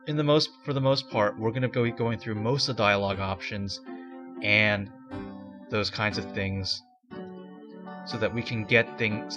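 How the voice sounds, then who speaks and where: A man's voice: medium pitch, bright, forceful tone. A man giving casual commentary over an adventure game, explaining the controls in a rambling way with restarts. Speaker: let's play commentator. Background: game music.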